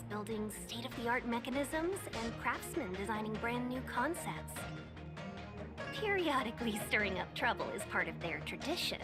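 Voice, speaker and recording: A woman voicing a courteous, cautious young guide in a video game, explaining things formally and politely. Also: a little high. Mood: grave. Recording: background music throughout.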